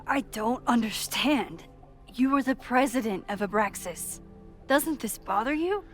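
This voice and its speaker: A woman's voice: a little high, expressive, a little slow, soft background music. A woman playing an inquisitive young photographer and amateur sleuth in a video game, casual, dry and probing. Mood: probing, insistent. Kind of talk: naturalistic video game acting.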